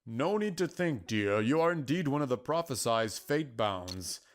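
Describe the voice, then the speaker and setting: A man voicing a patient, cryptic mystical guide in a story, with measured, formal, archaic phrasing. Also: medium pitch, big pitch swings. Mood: solemn, patient. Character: Lazarus, solemn mystical male prophecy keeper, patient and cryptic.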